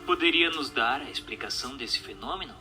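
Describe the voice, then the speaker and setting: Bright, forceful Brazilian male narrator. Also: mid-range voice, animated, brisk, soft background music. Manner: formal, didactic. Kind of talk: scripted narration of a religious text.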